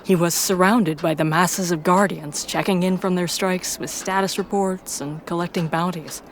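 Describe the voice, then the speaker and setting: A woman's voice: fairly low pitch, steady tone, moderate pace. A woman reading scripted first-person story narration. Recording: faint background noise.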